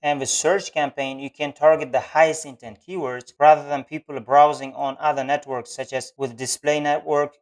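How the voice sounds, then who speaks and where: A man giving instructional narration for a screen-share tutorial, explaining things step by step as an online tutor. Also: fairly high pitch.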